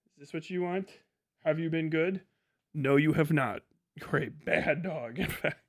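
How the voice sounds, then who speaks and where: Casting: male livestream host, slightly high pitch, loose sweary chatter, very dry recording. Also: a little slow, no room sound. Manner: profane, rambling. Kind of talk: casual livestream chat.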